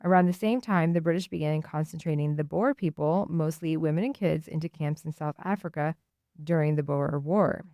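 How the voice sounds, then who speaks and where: A woman hosting a radio show, talking and reading aloud in an informal, halting way. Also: low-pitched, mellow, no echo, clean studio sound. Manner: hesitant, informal, halting delivery. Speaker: radio host. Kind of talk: casual spoken commentary and reading aloud on radio.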